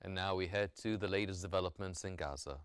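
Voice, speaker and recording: A man working as a news anchor, reading a scripted bulletin in a formal, measured newsreader delivery. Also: deep voice, over loud music.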